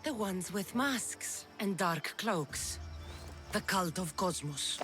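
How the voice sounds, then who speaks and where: A woman's voice: medium pitch, expressive, slow, fairly bright tone, faint music behind. Blunt, self-assured warrior woman. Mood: accusing. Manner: blunt, confident. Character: tough, self-assured female mercenary of ancient Greece. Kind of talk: video game cutscene acting.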